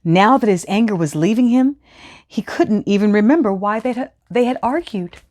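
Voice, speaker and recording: A woman hosting a children's storytime, speaking in an expressive, teacherly way as she reads and explains. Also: medium pitch, moderate pace. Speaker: host of a children's read-aloud storytime show. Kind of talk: expressive storybook reading with scripted instructions.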